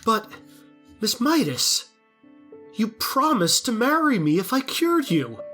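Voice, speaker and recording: A woman's voice: fairly high pitch, very animated, slow pace, fairly bright tone, soft background music, heavily acted. A woman playing a poor old glassblower in an audio drama, formal and earnest with a scheming streak. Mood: insistent. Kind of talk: theatrical audio drama acting.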